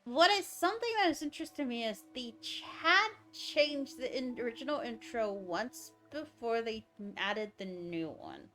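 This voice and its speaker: A woman's voice: on the high side, fairly bright tone. A woman livestreaming a game, giving casual commentary and thinking aloud about the story in a musing way.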